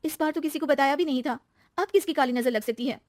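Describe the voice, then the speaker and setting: Excitable, easily aggrieved young wife for a family story, female performer; high pitch, expressive, dry recording. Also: fast, dry recording, close to an everyday voice. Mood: worried, defensive. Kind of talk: acted story dialogue.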